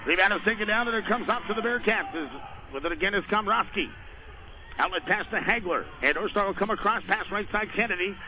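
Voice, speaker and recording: A man's voice: sits high, quick pace. A man doing live radio play-by-play of a basketball game in clipped, urgent phrases. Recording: noisy radio broadcast of a game.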